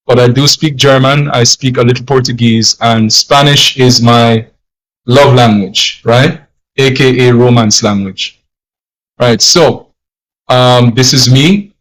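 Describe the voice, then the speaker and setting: A man's voice: medium pitch, fairly bright tone, rough recording. Jamaican male language teacher, livestream host, casual and rambling, mid-range voice. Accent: put-on non-Jamaican accent, not his natural one. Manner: rambling, repeats himself, frequent fillers.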